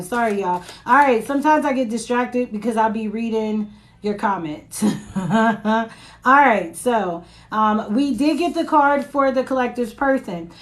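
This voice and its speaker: A woman with a raspy voice giving a casual live-stream tarot reading into her phone, chatting and reading comments between cards. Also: fairly high pitch. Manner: chatty, reads viewer comments aloud, laughs often. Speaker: tarot reader.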